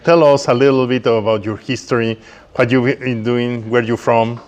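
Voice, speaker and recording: A man hosts a relaxed live interview in non-native English. His voice sits in the middle range, and he speaks encouragingly, with phrasing that shows English is his second language. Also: medium pitch, moderate pace. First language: not English. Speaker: host, interviewer. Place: community tech workspace, live event.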